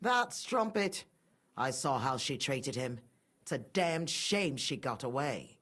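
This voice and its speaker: A woman's voice: extremely low pitch, big pitch swings, slow, fairly bright tone, slightly rough, heavily acted. An actress playing a middle-aged, sanctimonious and scornful mother, a pious murderess without remorse, in a dramatic video game scene. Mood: cold contempt. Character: pious, murderous mother, haughty, fanatical and unrepentant.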